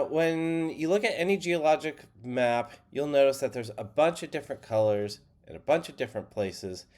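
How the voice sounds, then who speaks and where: A man with a stuffy, slightly nasal voice walks students through an online map lesson. His pitch sits in an ordinary middle range. He explains things in a relaxed, plainspoken way, like a college instructor at his desk. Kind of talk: screen-share lecture.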